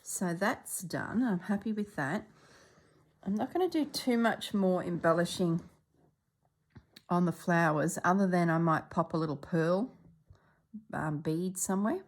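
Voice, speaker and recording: A middle-aged woman from Australia chatting casually as she stitches, a little congested and clearing her throat, sometimes dropping to soft murmurs. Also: on the low side, measured pace, pauses often. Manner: chatty, relaxed, drops into soft murmurs while working. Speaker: stitching vlogger. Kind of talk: casual chat while stitching.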